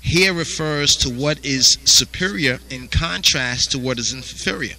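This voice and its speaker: A man's voice: mid-range voice, a little slow, pushed and bright, poor audio. A man teaching a Bible study, reading verses aloud and asking "you understand?" after nearly every phrase. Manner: repeats "you understand?" constantly.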